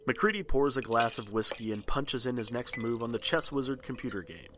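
A man's voice: medium pitch, expressive, a little fast, background noise. A man giving scripted narration as a podcast host and storyteller. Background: faint music.

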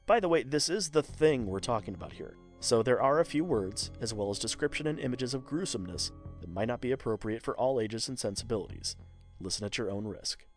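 A man's voice: medium pitch, lively intonation, a little fast. A man giving scripted narration as a podcast host and storyteller. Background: faint music.

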